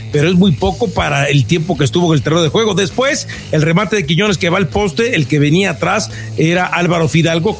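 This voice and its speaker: Man, slightly high voice, sports pundit, casual football discussion, faint music behind.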